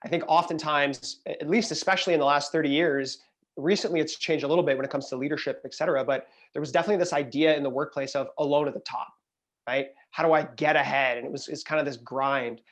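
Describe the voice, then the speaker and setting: Earnest higher-voiced male panelist. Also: steady tone, fast talker, fairly bright tone. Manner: earnest, often tags "right?". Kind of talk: panel discussion.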